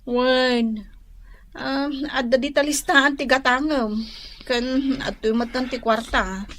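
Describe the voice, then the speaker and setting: A woman's voice: sits high, fairly even intonation, slow, fairly bright tone, slight background noise. A woman playing a suspicious young wife in a radio drama, speaking with an Ilocano Filipino accent. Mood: feigned casualness. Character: suspicious young wife, niece scheming with her aunt.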